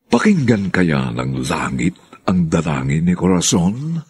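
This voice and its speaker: A man's voice: deep voice, huge pitch swings, measured pace, a little mellow, breathy edge. A man with a Filipino accent announcing and narrating a radio drama in a formal, solemn, theatrical style.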